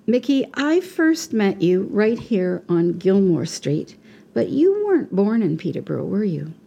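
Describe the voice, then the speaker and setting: A woman hosting a radio interview, steering with questions and quick mm-hmms. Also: medium pitch, big pitch swings, creaky, warm tone, husky. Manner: prompting, with frequent mm-hmms. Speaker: radio host. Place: radio studio.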